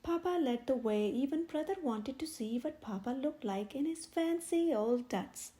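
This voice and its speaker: A woman narrates a children's storybook in a high, mellow voice. Her phrasing is expressive, with plenty of rise and fall. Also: normal speed. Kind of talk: children's picture book read aloud.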